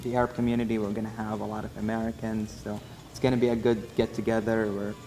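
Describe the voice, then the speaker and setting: A man's voice: lowish voice, flat delivery, fast, rough recording. A young man from Syria, engaged, chatting casually at a table in a hotel room and laughing lightly now and then. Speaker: groom-to-be interviewee. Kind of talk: casual chat. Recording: music playing under the voice.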